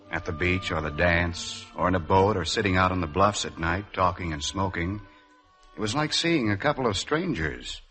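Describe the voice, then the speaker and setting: Wry reflective guy narrating old 50s radio play, deep voice, music behind. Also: a bit bright. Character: Mac, easygoing, reflective man recalling a summer acquaintance. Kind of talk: 1950s radio drama narration. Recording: 1950s radio broadcast with music underneath.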